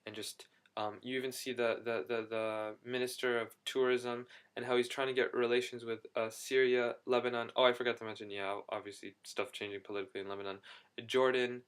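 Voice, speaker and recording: A young man in a casual video diary, talking excitedly and rambling with quick restarts. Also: medium pitch, clear, resonant tone. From United States. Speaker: vlogger.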